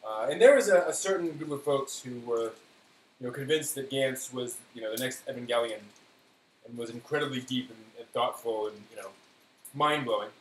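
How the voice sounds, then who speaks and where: Male live stream host, offhand and conversational, medium pitch, recorded in an echoey room. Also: brisk. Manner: offhand, thinking aloud. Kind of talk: casual live stream chat. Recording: roomy echo, faint hiss.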